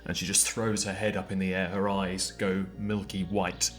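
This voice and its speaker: A man's voice: deep voice, level tone, moderate pace, fairly bright tone. A man playing a tabletop roleplaying game, describing his character's actions in dramatic third person. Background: faint background music.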